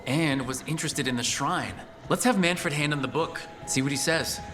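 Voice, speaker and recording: A man playing a practical young male adventurer in a video game, speaking in a direct, brisk way. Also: fairly high pitch, over music, lightly acted. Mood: curious.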